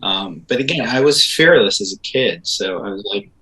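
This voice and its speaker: A middle-aged man from Israel, a composer and guest, chatting casually in an interview and telling animated stories with fillers. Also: lowish voice, moderate pace, poor audio. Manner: animated storytelling with laughs and fillers.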